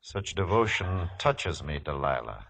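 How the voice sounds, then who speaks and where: A man's voice: extremely low pitch, big pitch swings, a little slow, gravelly, a bit bright, a little hiss or hum, exaggerated voice. An actor playing an imperious, scornful ancient king, speaking in a stately and formal way in a 1950s radio drama. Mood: measured, tender. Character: imperious, scornful middle-aged Philistine king.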